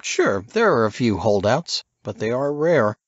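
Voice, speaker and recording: A man voicing a tense, methodical man in an audiobook, grave and deliberate with his colleagues. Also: medium pitch, big pitch swings, dry recording, muffled recording. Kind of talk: audiobook character dialogue.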